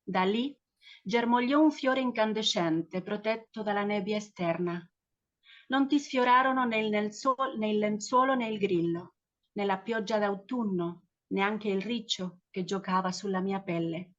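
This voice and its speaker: A woman poet whose first language is Spanish reads verse and talks haltingly, restarting her sentences often. Her voice has a middle pitch and comes through a phone with dull, lo-fi call sound. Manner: hesitant, halting, with frequent restarts. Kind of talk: poetry reading and round-table chat.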